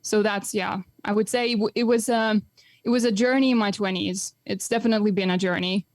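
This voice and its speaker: A young woman from Finland talks through her thoughts as a podcast guest, pausing often and restarting phrases. Her voice sits at an ordinary pitch for a woman. The recording is dry and close, with no room sound. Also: steady tone, no echo. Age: 20s. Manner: reflective, many fillers and restarts. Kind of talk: casual podcast conversation.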